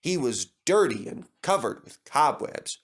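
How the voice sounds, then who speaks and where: Unhurried, animated male storybook narrator. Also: medium pitch, slightly rough. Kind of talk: scripted children's story narration.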